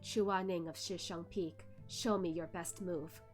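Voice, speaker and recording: Cold, curt male cultivation master acted by a woman, lowered voice, composed and disdainful, novel read-aloud. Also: steady tone, measured pace, faint music behind, close to an everyday voice. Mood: cold challenge. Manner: terse, icy, disdainful.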